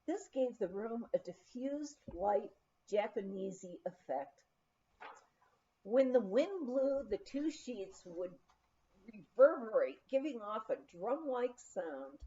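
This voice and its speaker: Slow, lilting high-voiced woman reading a memoir. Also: big pitch swings, warm tone, slight background noise. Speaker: audiobook reader. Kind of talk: reading a memoir aloud, scripted narration. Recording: dull, lo-fi recording.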